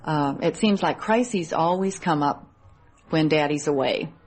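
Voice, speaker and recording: A woman's voice: low voice, measured pace, darkish tone, a little hiss or hum. An American woman speaking as a military wife in a thoughtful radio interview.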